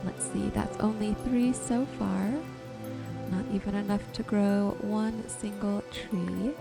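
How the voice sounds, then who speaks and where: A woman gives gentle ASMR commentary as she plays a game. Her pace is slow and unhurried, with a soothing delivery in a medium register. Music plays clearly behind her voice. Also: mid-range voice, fairly even intonation. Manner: gentle, unhurried, soothing narration of own actions. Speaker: host of a gaming channel.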